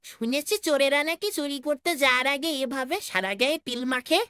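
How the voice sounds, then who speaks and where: A woman's voice: high voice, a little fast, very noisy, lightly acted. A woman voicing a cheeky, curious little boy in a cartoon, with an innocently inquisitive manner.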